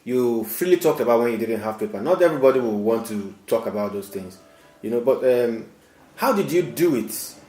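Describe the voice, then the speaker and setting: A man's voice: mid-range voice, lively intonation, brisk, a little mellow, faint music behind. A man hosting an interview, asking questions in formal, flowery phrasing. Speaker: interviewer, host. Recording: echoey room.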